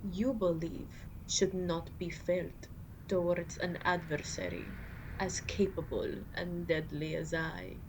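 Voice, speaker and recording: Over clear background ambience, an immortal vampire queen speaks very slowly in a lowish, breathy voice that often sinks to a whisper. She is seductive and imperious, with a put-on accent and formal, archaic phrasing suited to an intimate ASMR roleplay. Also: background noise. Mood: imperious, alluring.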